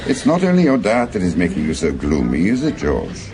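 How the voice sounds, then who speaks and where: An actor playing an urbane, genial friend in a radio drama, teasing and gently probing. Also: male voice, deep voice, huge pitch swings, a little fast, exaggerated voice.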